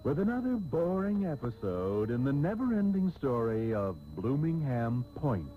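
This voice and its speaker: An actor playing a jaded, grandiose American soap-opera radio host, narrating with theatrical, sardonic, drawn-out delivery on an old tape. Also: male voice, medium pitch, sing-song, narrow, tinny audio, close to an everyday voice. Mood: wearily sardonic. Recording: phone-thin old radio tape, music behind.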